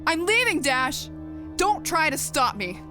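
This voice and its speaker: A woman's voice: high-pitched, sing-song, unhurried, music in the background. A woman voicing a sarcastic, blunt, rebellious young pegasus in a dramatized story reading. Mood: bitter. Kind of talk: dramatized fan fiction reading.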